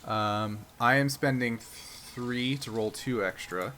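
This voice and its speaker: Bright, clear-cut man running a tabletop game. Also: mid-range voice, slow, slight background noise. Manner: explanatory, checking understanding. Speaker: game master. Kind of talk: explaining rules and narrating a tabletop game.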